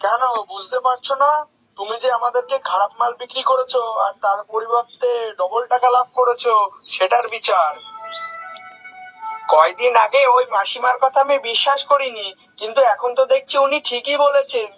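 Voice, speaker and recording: A man's voice: extremely high pitch, normal speed, sharp tone, faint music behind, exaggerated voice, poor audio. A man playing an earnest, emphatic village customer in a cartoon. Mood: accusing, indignant. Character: plain-spoken, righteous male village customer. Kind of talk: cartoon character acting.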